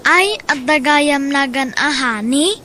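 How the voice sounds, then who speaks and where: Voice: sits high, lively intonation, slow, sharp tone, a little hiss or hum, full character voice. A performer playing a small, innocent, whiny child with an Ilocano accent in a radio drama. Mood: curious, innocent.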